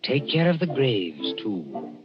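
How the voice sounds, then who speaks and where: An actor playing an ominous, poetic narrator of a macabre tale, hushed and dramatic in 1940s radio style. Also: male voice, fairly low pitch, wildly swooping pitch, slow, heavily acted. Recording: muffled 1940s radio broadcast, loud music.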